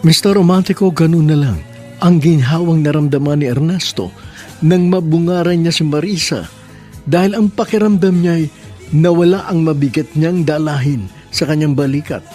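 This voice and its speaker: A male narrator reads a radio drama in a smooth, storytelling tone, with a voice a little higher than most men's. Soft music plays under his narration. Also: moderate pace.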